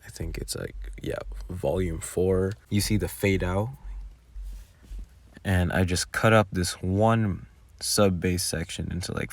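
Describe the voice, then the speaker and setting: A man giving an informal, halting, self-deprecating behind-the-scenes commentary as an audio creator, talking casually close to the microphone. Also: deep voice, gravelly.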